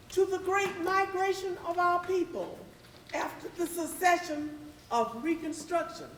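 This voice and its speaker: Slow, high-pitched woman giving a formal welcome. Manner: oratorical, deliberate, measured phrasing. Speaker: host of a readers theatre. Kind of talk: formal stage welcome address. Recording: roomy, distant mic, faint noise.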